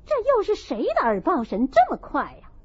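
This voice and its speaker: A woman narrator playing a proud, sharp-tongued young noblewoman who argues indignantly to justify herself. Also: sits high, huge pitch swings, a little fast, husky, full character voice. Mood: flustered indignation. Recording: dull, lo-fi audio.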